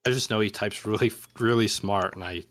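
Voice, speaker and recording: A man's voice: lowish voice, fairly quick, fairly bright tone, dry recording. A man chatting casually as a podcast guest, hesitant and self-deprecating. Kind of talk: casual interview chat.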